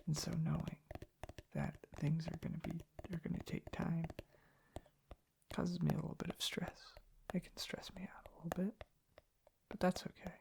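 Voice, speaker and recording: An ASMR host speaking softly in a hushed, reflective, unhurried ramble. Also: mid-range voice, flat delivery, creaky. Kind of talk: soft-spoken ASMR ramble.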